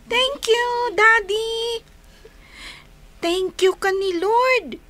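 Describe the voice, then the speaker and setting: A woman's voice: very high voice, extremely slow, bright, forceful tone, exaggerated voice. A woman acting an affectionate, obedient little daughter in an Ilocano radio drama, speaking in a sweet, childlike way. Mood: sweet gratitude.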